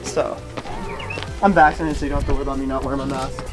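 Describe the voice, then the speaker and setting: A man's voice: mid-range voice, fairly even intonation, rapid-fire, music in the background. A young man from the United States, a travel vlogger on a mountain trail eagerly interviewing hikers in a casual, rambling way.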